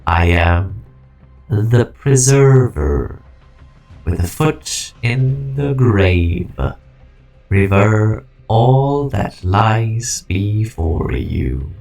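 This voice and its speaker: A man's voice: lowish voice, expressive, extremely slow, creaky, a little mellow, heavily acted. A man voicing an ancient artificial personality, the weary preserver of a dying civilization, in a grandiose, ponderous game fan dub. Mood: grandiose, bleak. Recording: music behind the voice. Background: music.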